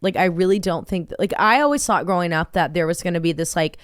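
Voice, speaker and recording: Low, smooth-voiced chatty woman in her twenties. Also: fast talker, dry recording, studio quality. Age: 20s. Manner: chatty, swears freely, rambling storyteller. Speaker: podcast co-host. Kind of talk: casual chat.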